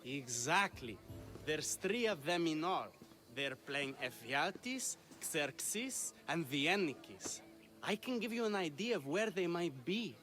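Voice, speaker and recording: Grandiose greek theatre man for a game, high bright voice, gushy and full of himself. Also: big pitch swings, slow, faint music behind, heavily acted. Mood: concerned. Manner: effusive, grandiose. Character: theatrical, self-important Greek playwright and leading actor. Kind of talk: video game dialogue acting.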